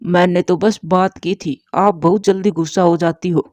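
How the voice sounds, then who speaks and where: A woman's voice: lowish voice, fairly even intonation, moderate pace, a little mellow, no echo, natural voice. A woman voicing a mild young male bird, a yielding son and husband in a cartoon, in a placating manner. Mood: defensive.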